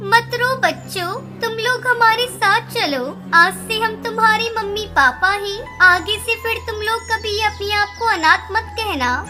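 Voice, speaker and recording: A woman voicing a gentle, earnest young female bird in a cartoon story. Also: very high voice, unhurried, few pauses. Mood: kind, reassuring. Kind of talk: Hindi cartoon story dialogue. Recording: music under the voice.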